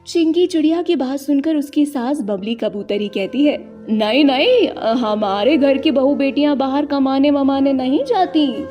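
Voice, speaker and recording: A woman's voice: on the high side, dark tone. A woman reading scripted narration for a children's story.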